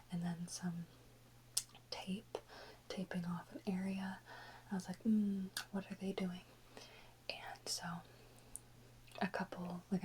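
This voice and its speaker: A woman whispering softly and close to the microphone in an informal, rambling ASMR chat with unhurried pauses. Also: fairly low pitch, poor audio. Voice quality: soft unvoiced whisper. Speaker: ASMR video creator. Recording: close whisper with faint background noise.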